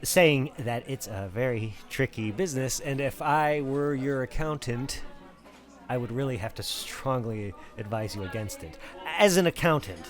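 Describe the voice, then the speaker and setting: A man's voice: medium pitch, animated, normal speed, faint music behind, lightly acted. Man playing a fussy, swooping nervous accountant. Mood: earnest insisting. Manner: hesitant, stammering, earnestly nagging. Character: fussy, nervous male accountant on the run, politely persistent. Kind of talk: acted film script read.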